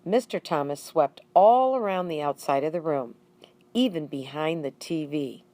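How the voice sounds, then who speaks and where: A woman reading a children's story aloud in an expressive, deliberate narrating style. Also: low-pitched. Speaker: audiobook reader.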